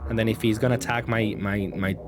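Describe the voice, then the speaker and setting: A man's voice: lowish voice, steady tone, quick pace, loud music behind the voice. A man giving casual gameplay commentary, thinking aloud in a hesitant, self-correcting way. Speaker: gaming content creator commentating matches.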